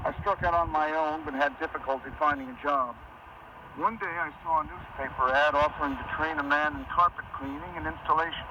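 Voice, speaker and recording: A man's voice: fairly high pitch, steady tone, fairly quick, natural voice. A man playing an earnest young Air Force veteran in a radio drama. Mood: reflective narrating. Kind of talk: radio drama acting and first-person narration. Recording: muffled, noisy shortwave radio broadcast.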